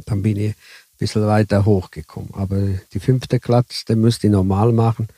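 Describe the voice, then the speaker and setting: A man from southern Italy speaking German with an Italian accent, telling his story haltingly as an interview guest. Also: lowish voice, little pitch movement, mellow, no room sound. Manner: halting, broken German with many fillers. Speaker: guest, bus driver. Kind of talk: casual interview chat.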